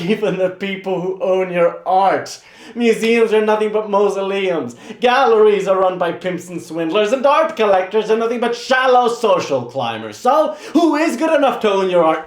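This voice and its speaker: An actor playing an angry, sarcastic young painter's assistant in a theatrical monologue, swearing in rapid tirades. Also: male voice, on the high side, expressive, reverberant. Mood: sarcastic fury.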